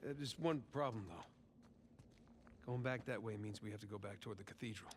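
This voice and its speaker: A man's voice: fairly low pitch, fairly quick, a little hiss or hum, natural voice. An actor playing a wry, adventurous treasure hunter, bantering with his brother.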